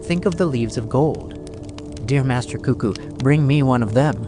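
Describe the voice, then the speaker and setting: A man's voice: mid-range voice, lively intonation, normal speed, over music, lightly acted. A man voicing a poor, greedy and scornful shoemaker in a fairy tale, with wheedling, old-fashioned formal speech. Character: poor shoemaker brother, male, greedy, scornful, gold-hungry.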